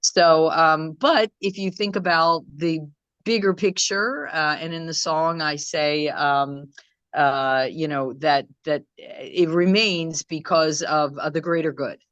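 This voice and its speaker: A woman musician from Croatia, answering interview questions in a casual chat, thoughtful and rambling with many pauses and restarts. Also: low voice, fairly even intonation, fairly bright tone, no echo, muffled recording. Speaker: musician guest. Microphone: video call.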